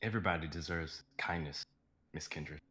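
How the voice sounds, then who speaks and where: A man voicing a patient male assistant to a star, speaking calmly and gently in an amateur dramatic reading. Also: a bass voice, moderate pace, reverberant, a little hiss or hum. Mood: gentle. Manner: calm, gentle, soothing. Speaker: actor in a live script reading.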